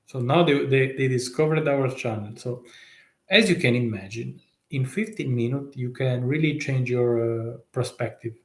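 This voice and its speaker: A man with an Italian accent talks through a live stream, stopping and restarting often and tossing out rhetorical questions. His voice sits in a middle register. The room around him rings with a noticeable echo. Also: medium pitch. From Italy. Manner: halting, many fillers and restarts, rising rhetorical questions. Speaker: tax consultant.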